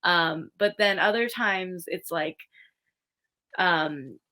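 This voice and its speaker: A young woman teacher speaks as a podcast guest, her voice bright and a little low. She thinks aloud as she goes, circling back and restarting phrases. Her tone stays casual and conversational throughout. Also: takes it slowly. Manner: thoughtful, restarts phrases, many fillers.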